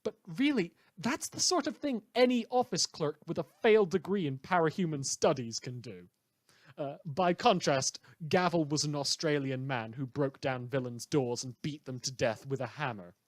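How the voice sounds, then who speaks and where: A man's voice: on the high side, normal speed, no room sound, close to an everyday voice. A man playing a sarcastic, indignant radio host in a superhero audio drama, delivering a satirical monologue with theatrical emphasis. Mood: mocking. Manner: sardonic, theatrical emphasis, mock-hesitant asides.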